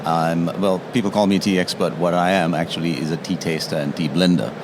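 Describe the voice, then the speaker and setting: A man's voice: low-pitched, fairly even intonation, fast talker. A man from Sri Lanka, a tea taster explaining his trade in an interview, often checking in with "yeah?". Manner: explanatory, tags statements with "yeah?". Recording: noisy trade show floor.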